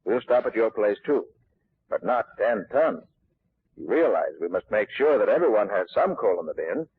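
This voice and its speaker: A polite, patient company clerk in a 1940s radio advertising skit. He reasons apologetically in a husky, mid-pitched voice on a muffled, narrow old recording. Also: big pitch swings, normal speed, dry recording, full character voice. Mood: patient, apologetic.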